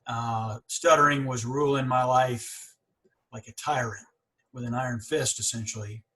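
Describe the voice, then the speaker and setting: A man's voice: medium pitch, fairly even intonation, unhurried, bright, forceful tone, rough recording. A man in his forties hosting a podcast, talking candidly in a casual interview with frequent stuttering blocks and repeated syllables. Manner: candid and earnest. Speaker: podcast host.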